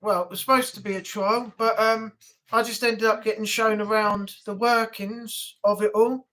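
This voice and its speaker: A woman's voice: medium pitch, flat delivery, moderate pace, a bit bright, low-quality recording. A woman hosting a casual weekly livestream chat, talking to viewers in a chatty, rambling way. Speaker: livestream host.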